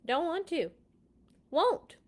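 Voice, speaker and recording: A woman's voice: very high-pitched, big pitch swings, slow pace, pitched above the performer's own voice, exaggerated voice. A woman voicing a petulant, stubborn male Pharaoh in a children's storybook, whiny and emphatic. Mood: petulant, dismissive. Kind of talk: children's storybook read-aloud.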